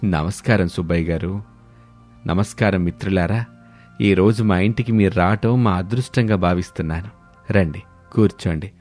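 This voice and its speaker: A man acts out a proud family patriarch in a storybook drama. He is polite and formal, with a lowish voice over faint music. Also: normal speed, pauses often, a little mellow, natural voice. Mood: respectful. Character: courteous, proud male head of a joint family.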